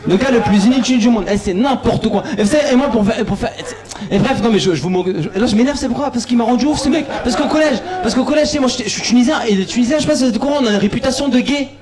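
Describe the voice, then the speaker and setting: A man's voice: very high-pitched, rapid-fire. A young man doing live stand-up, slangy and repetitive, bantering with the audience. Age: young adult. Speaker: stand-up comedian. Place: small bar comedy club. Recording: live club with loud audience. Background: loud crowd reactions.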